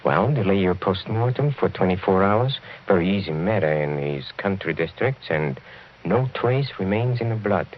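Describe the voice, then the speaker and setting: An actor playing a suave, sinister count in a 1940s radio horror drama, speaking in a deliberate, theatrical, mocking way. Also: male voice, low-pitched, animated. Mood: quietly menacing. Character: suave sinister middle-aged count, intense, coolly menacing. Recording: muffled, noisy vintage radio recording.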